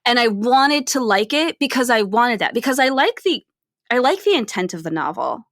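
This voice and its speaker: A woman's voice: fairly high pitch, sing-song, moderate pace, fairly bright tone, no room sound, studio quality. A woman co-hosting a podcast, talking casually about film in an explanatory way, often checking in with "right?". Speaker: podcast co-host.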